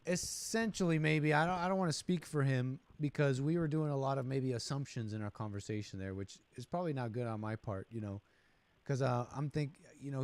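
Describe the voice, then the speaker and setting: A man's voice: mid-range voice, a little mellow. A man hosting a call-in show, talking casually and thoughtfully, hedging his points with many fillers.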